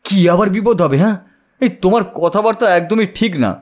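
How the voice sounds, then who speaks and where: A man's voice: sits high, moderate pace, natural voice. A man playing a tired, wary, grumbling young friend in an audio horror story. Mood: annoyed.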